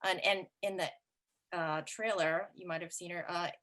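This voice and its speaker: A woman's voice: lowish voice, steady tone, normal speed, fairly bright tone. A woman hosting a comedy night, introducing the next performer in an upbeat way with fillers. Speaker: host.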